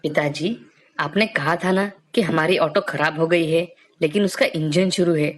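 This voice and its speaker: A woman voices a respectful young boy in a storybook cartoon. He is earnest and thoughtful, speaking rather low. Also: moderate pace. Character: polite, thoughtful young son with bright ideas.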